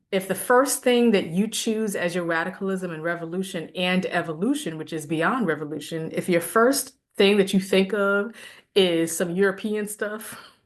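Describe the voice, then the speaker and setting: A woman with a slightly low voice giving a loose, conversational lecture to a class. She talks in an animated way, picks up speed when a point excites her and laughs now and then. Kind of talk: casual spoken lecture.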